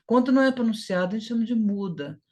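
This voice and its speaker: A woman's voice: on the high side, animated, quick pace, darkish tone. A woman with a Brazilian Portuguese accent giving an informal English lesson, sounding out syllables emphatically and repeating key words. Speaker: English teacher.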